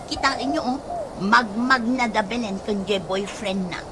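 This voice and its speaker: An actress playing a gossipy, envious young daughter in an Ilocano radio comedy drama. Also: female voice, medium pitch, moderate pace, noisy background, lightly acted.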